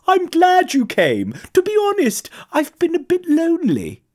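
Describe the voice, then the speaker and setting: Woman doing a very high, gentle, friendly giraffe. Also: wildly swooping pitch, a little slow, exaggerated voice. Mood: welcoming. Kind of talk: children's storybook character voice.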